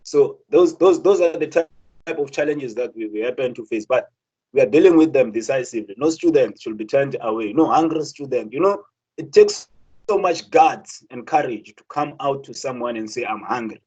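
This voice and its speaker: A man's voice: mid-range voice, expressive, normal speed, a little mellow, breathy edge, muffled recording. A young South African man speaking earnestly, with many repeated words and restarts, as a student representative. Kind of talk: impassioned spoken talk.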